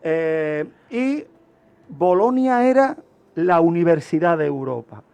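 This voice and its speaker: A man's voice: fairly high pitch, big pitch swings, takes it slowly, gravelly, darkish tone, clean tone. A man speaking as a criminologist guest on a radio segment, explaining in an emphatic, didactic way with rhetorical questions. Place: radio studio.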